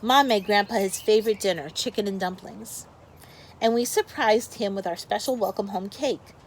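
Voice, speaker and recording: A woman's voice: mid-range voice, normal speed, slight background noise. A woman teacher reads a picture book aloud to young children, expressively, with explanatory asides to her pupils.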